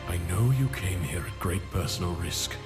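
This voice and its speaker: A grave, noble fantasy-game governor, low-voiced with a wide, expressive range. He speaks with formal, measured command and cautious dignity. The voice rings with long reverb. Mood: solemn. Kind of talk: dramatic fantasy game acting. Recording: roomy long reverb, music behind.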